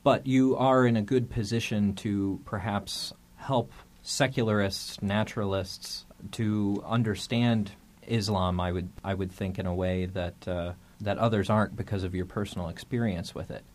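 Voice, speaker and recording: A man's voice: on the low side, steady tone, normal speed, hardly any pauses. A man hosting a podcast, talking things through in a thoughtful, hesitant way and often repeating his words. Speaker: podcast host. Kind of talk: casual discussion.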